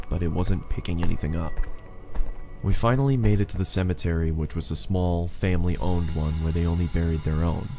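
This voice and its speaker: A man's voice: low voice, gravelly, mellow. A man reads a scripted first-person horror story as its narrator.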